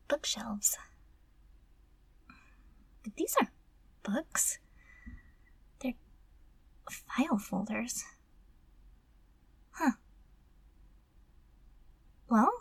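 A woman's voice: on the high side, husky, exaggerated voice. A woman playing a timid, curious, easily flustered female servant in an intimate audio roleplay, murmuring breathily and stammering. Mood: hushed curiosity.

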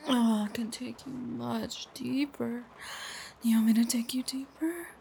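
A woman speaking in an intimate whispered audio roleplay, mostly soft whispers with low murmured hums, in a coaxing, praising tone. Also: steady tone, measured pace, vocal fry, a little hiss or hum.

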